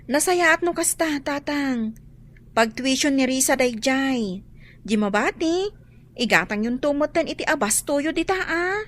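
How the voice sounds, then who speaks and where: A woman's voice: high voice, expressive, a little slow. An actress playing a sweet, dutiful young working woman in a radio drama. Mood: warm, dutiful. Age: young adult. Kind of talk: Ilocano radio drama acting.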